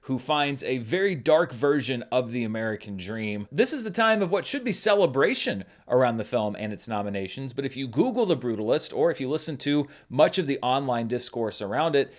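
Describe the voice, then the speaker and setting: A man's voice: medium pitch, lively intonation, brisk, hardly any pauses. A man hosting his own channel as a film critic, explaining a news story in scripted commentary.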